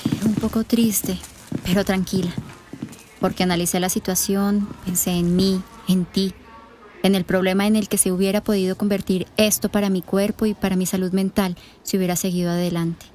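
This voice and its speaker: A woman playing a gentle, thoughtful teenage schoolgirl in a radio drama. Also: mid-range voice, level tone, moderate pace, slight background noise, lightly acted. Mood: sad, calm.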